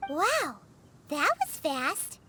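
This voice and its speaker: A woman voicing a small, childlike, excitable fairy companion in an anime-style video game, with simple, eager wording. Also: sits high, very slow, background noise, exaggerated voice. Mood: surprised. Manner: refers to self in third person, simple eager wording.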